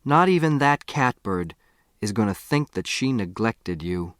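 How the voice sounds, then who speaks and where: A man's voice: lowish voice, big pitch swings, unhurried, fairly bright tone, no echo, clean studio sound. A man voicing a proud, stubborn father with an emphatic, declamatory manner in an audiobook story. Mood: explaining.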